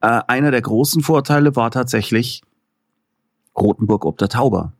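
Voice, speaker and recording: Male interview guest, animated storytelling, mid-pitched voice, dry close sound. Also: normal speed, no room sound. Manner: animated storytelling with fillers and laughs. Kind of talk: casual interview chat.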